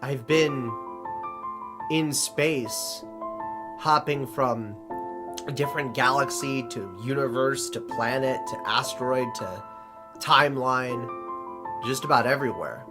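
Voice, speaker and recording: A man playing an anxious, weary, homesick space adventurer in an amateur sci-fi audio drama, speaking hesitantly and earnestly, with pauses mid-sentence. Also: medium pitch, music in the background, natural voice. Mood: anxious, pensive.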